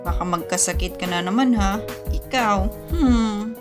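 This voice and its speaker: A woman's voice: medium pitch, unhurried, natural voice. A woman acting as an affectionate, teasing young sweetheart in a radio drama, with a Filipino accent. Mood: teasing, fond. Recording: music playing behind the voice.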